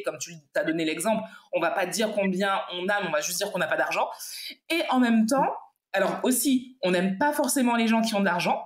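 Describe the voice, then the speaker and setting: Woman, Martinican origin, podcast host, curious questions, middle pitch, roomy echo. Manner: curious, asks questions, lively rises in pitch. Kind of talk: casual conversation.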